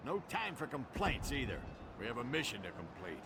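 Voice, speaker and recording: A man voicing a gruff, pushy orc sergeant in a video game, brusque and commanding. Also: mid-range voice, big pitch swings, brisk, noisy background. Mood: impatient.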